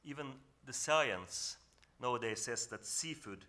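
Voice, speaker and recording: A man from northern Norway with a Norwegian accent gives an earnest, reflective talk on a panel, often pausing and restarting as he searches for English words. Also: mid-range voice, expressive, pushed and bright. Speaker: panel speaker. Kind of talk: reflective presentation at a symposium.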